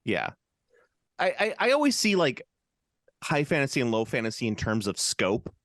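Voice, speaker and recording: A man's voice: mid-range voice, big pitch swings, normal speed, fairly bright tone, dry recording, clean studio sound. A man co-hosting a podcast in casual chat, joking and rambling with many restarts. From United States. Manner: rambling, jokey, lots of restarts and fillers. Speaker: podcast co-host.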